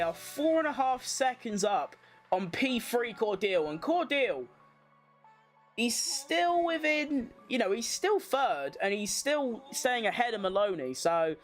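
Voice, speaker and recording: A woman hosting a watchalong, giving casual live motorsport commentary in an informal, slangy way, as if thinking aloud. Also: medium pitch. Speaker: livestream watchalong host.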